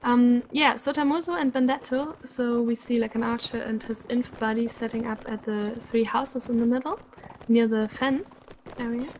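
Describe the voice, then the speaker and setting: A woman commentating a live online game match, chatty and quick to laugh, sounding a little sick. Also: fairly high pitch, steady tone, darkish tone, a little hiss or hum, low-quality recording. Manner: chatty, laughs often, uses "like" a lot. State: sick, slightly rough voice. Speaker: esports commentator.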